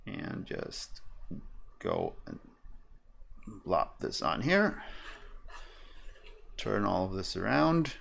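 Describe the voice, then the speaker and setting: A man's voice: fairly low pitch, wildly swooping pitch, very slow, creaky, pauses often, fairly bright tone, slight background noise. A young man with a German accent who thinks aloud as he explains soldering at his workbench, with many fillers. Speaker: electronics streamer. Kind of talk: casual soldering tutorial.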